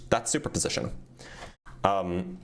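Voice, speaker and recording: A man's voice: low-pitched, expressive, a little slow. A man physicist reading viewer questions aloud on a casual livestream and answering them plainly, with frequent ums, as he starts to lose his voice.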